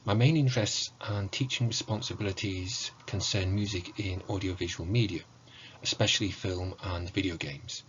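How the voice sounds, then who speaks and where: A middle-aged man giving a prepared online lecture in a measured, formal, explanatory way. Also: sits low, a little hiss or hum. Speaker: university lecturer in music. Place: small indoor room with bookshelves. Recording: muffled, dull online recording.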